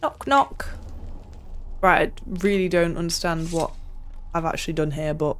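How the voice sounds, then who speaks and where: Slow-paced young woman streaming a video game. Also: fairly low pitch, fairly even intonation. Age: young adult. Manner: playful, chatty, reactive. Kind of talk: casual gameplay commentary. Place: small indoor room at home.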